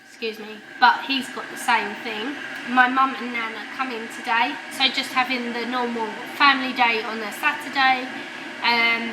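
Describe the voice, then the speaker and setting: A woman, hoarse and croaky from a sore throat, chatting casually to her camera at home with plenty of ums. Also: a little high, background noise. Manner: chatty, many ums, unhurried. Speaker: family vlogger. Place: at home, indoors.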